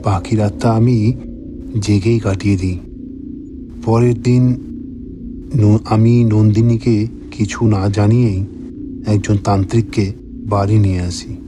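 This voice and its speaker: A man narrating a scripted horror story in the first person, in a measured, dramatic manner. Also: fairly low pitch, fairly even intonation, over music.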